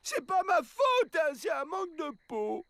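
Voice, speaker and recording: A man voicing a slow-witted, cheerful outlaw with a naive, childlike manner in a French animated cartoon. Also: extremely high pitch, shouting, very noisy, exaggerated voice. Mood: naive, apologetic.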